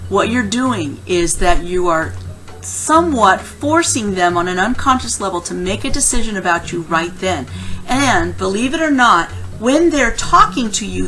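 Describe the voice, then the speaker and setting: Woman coaching conversationally, slightly low voice. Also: pushed and bright. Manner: conversational, emphatic, acts out example lines. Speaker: career coach presenting interview tips. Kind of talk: instructional advice talk to camera.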